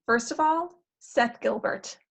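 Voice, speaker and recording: Insistent, wry woman, slightly high voice, acted. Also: slow pace, vocal fry, full character voice. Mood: urgent, emphatic. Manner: urgent, emphatic, wry. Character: insistent, jaded older self from the future. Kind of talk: stage comedy acting in a table read.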